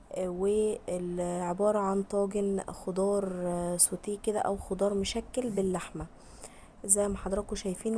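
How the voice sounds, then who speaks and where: A woman with an Egyptian Arabic accent, hoarse from a cold, chatting to viewers in a home cooking vlog voice-over and sprinkling in blessings. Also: medium pitch, dark tone, slight background noise. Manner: chatty, addresses viewers directly with frequent blessings.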